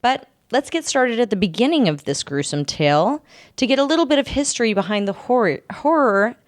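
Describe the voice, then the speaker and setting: Clear-voiced woman hosting a casual podcast. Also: a little high, lively intonation, fairly quick, no echo, studio quality. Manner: informal, joking. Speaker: podcast host. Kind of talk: casual chat with storytelling from notes.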